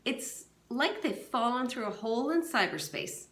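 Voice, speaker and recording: A woman's voice: fairly high pitch, expressive, moderate pace, a bit bright, roomy sound, voice pushed up. A woman reading aloud, voicing a defensive, evasive woman official.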